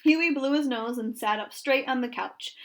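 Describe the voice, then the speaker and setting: A woman's voice: high-pitched, normal speed. A woman reading a children's story aloud in a narrating style. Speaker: storybook reader.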